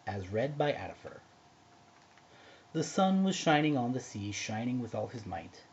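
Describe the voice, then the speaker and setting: A man reading a narrative poem aloud as an expressive amateur storyteller. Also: medium pitch, moderate pace, lo-fi sound, a little hiss or hum. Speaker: amateur poetry reader.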